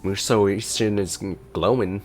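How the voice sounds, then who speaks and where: Very low-voiced woman reacting to gameplay. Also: steady tone, normal speed, faint music behind. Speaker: streamer reacting to a game. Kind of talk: casual live gameplay reaction.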